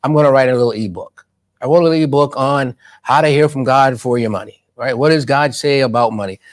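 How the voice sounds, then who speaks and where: A man's voice: medium pitch, fast. A man speaking as a guest in an interview about money, talking in an emphatic, motivational way with a preacher-like cadence and rhetorical questions.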